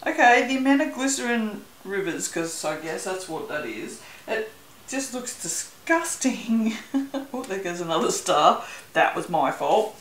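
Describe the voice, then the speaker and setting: A woman's voice: medium pitch, lively intonation, measured pace, roomy sound, a little hiss or hum. An Australian woman chatting casually as she works on a craft video, rambling a little and laughing often. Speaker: soap-making channel host. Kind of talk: casual chat while working.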